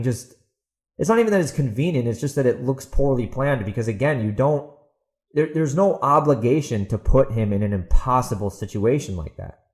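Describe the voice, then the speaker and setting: A man's voice: medium pitch, darkish tone. A man hosting a podcast in a casual, opinionated rant, speaking bluntly and emphatically with incredulous rhetorical questions. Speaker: podcast host and author.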